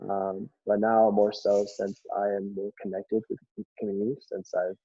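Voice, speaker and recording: A man from Alabama speaks as a podcast guest, low-pitched and measured, often pausing to think mid-sentence. The recording sounds dry, with no room echo. It is also muffled and lo-fi. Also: little pitch movement, warm tone, breathy edge. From Alabama, United States. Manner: measured, explanatory, thinks aloud with pauses. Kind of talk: casual conversational interview.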